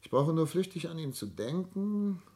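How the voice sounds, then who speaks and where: Older male melancholy, cultured widower in a radio drama; theatrical, deliberate, widely swinging intonation. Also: fairly high pitch, very animated, dark tone. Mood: bitter, uneasy. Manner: theatrical, deliberate, literary phrasing. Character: melancholy, cultured ageing widowed patriarch, brooding and expansive. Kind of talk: German radio play acting.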